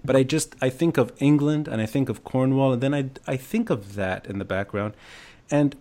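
A man's voice: mid-range voice, normal speed, mellow. A man from Lebanon hosting a conversational podcast interview, thoughtful and reflective, piecing his thoughts together as he goes. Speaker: podcast host.

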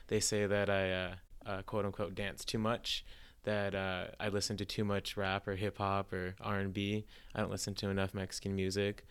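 A man's voice: low voice, little pitch movement, moderate pace, few pauses, a bit bright, clean tone. A young man with an American accent, giving a reflective personal account as a podcast guest. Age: young adult.

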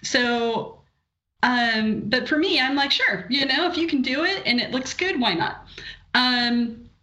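Bright, higher-voiced woman in a roomy space. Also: takes it slowly. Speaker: podcast co-host. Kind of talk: casual chat. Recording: roomy, dull lo-fi sound.

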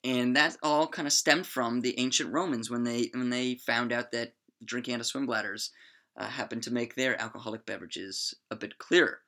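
A man's voice: mid-range voice, steady tone, normal speed, pushed and bright. A young man hosting a podcast, explaining facts in a chatty, joking way with lots of fillers and asides. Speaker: podcast host. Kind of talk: casual educational monologue.

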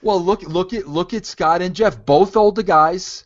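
A man's voice: high-pitched, measured pace, highs cut off. A man in his fifties playing a network executive who teases and coaches two interviewers with a pushy, interrupting manner. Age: 50s.

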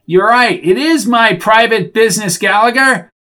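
Man voicing a whining, haughty reverend, pitched up. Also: expressive, a little slow, sharp tone, heavily acted. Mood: angry. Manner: haughty, elite. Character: angry man, loud, whining, elite reverend.

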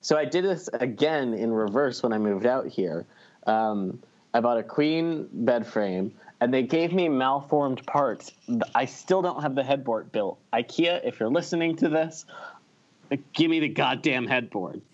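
A man's voice: mid-range voice, animated, measured pace, darkish tone. A man with a mid-range voice co-hosts a casual podcast among friends. He talks in a wry, self-deprecating way and swears freely. The audio is a little muffled. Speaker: podcast co-host.

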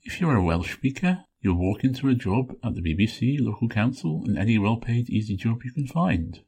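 A man from England hosting a podcast, explaining points one by one in a measured, explanatory way. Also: mid-range voice, animated, a little mellow, slightly rough. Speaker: podcast host. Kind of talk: solo educational podcast talk.

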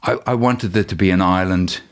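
Deep-voiced man in his fifties. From South London and Dublin. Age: 50s. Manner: halting, searching for words, many restarts. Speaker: interview guest. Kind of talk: reflective interview conversation.

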